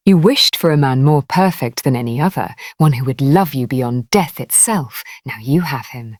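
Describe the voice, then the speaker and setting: A low-voiced undead husband played by a woman storyteller, cold and possessive in an eerie folktale. His slow, coaxing lines swing in pitch while staying tender and menacing. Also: lively intonation, a little mellow, dry recording, clean studio sound.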